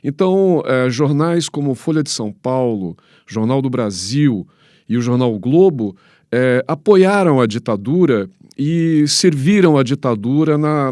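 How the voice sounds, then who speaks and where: A Brazilian man speaks as an academic guest in a dry, close-sounding recording. His voice sits at a medium pitch, and he explains didactically, often stopping mid-phrase to find the next word. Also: a little mellow, dry recording. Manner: halting, didactic, pausing mid-phrase to search for words. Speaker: history professor, guest commentator. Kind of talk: expert interview answers.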